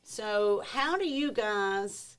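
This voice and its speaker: A woman's voice: medium pitch, expressive, very slow, smooth. A woman with a mid-range voice hosts an interview podcast. She talks in a friendly, conversational way and works out each question aloud as she asks it. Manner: friendly, thinks aloud while framing questions.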